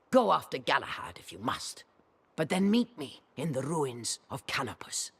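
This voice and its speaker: An ancient sorceress crone for a fantasy video game. Her husky, rasping voice takes its time and swings widely in pitch. She is sly, haughty and brooding, with a theatrical delivery. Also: sing-song, heavily acted. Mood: wry, resolute. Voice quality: raspy, husky. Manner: theatrical, deliberate, cackling. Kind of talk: dramatic video game acting. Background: background music.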